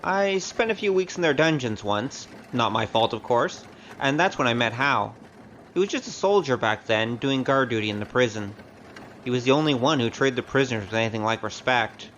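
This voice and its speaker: A breezy rogue of an adventurer, played by a man, talks in a casual, wry way, as if chatting on the road. His voice sits at an ordinary male pitch in this audio drama, over clearly audible noise. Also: lively intonation, normal speed, fairly bright tone, muffled recording, lightly acted. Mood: chatty, wry.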